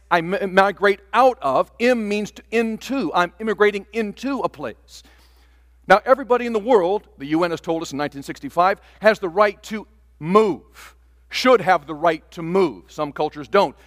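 A man's voice: high voice, normal speed, fairly bright tone, in a large hall. An older man preaching a sermon from the pulpit, earnest, stretching out key words for emphasis. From Taiwan. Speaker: pastor. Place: church sanctuary.

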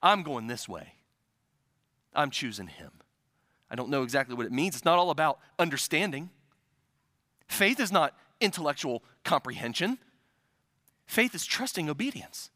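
A man's voice: on the high side, big pitch swings, normal speed, pauses often, sharp tone, no room sound, studio quality. A man from the Midwest preaching a sermon, building to urgent, emphatic repetition. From American Midwest. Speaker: pastor.

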